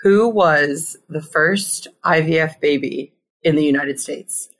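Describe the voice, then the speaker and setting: A woman hosting a podcast, with a notably low-pitched voice. She is chatty and playful, keeping the interview light. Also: slow pace, vocal fry. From Connecticut. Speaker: podcast host.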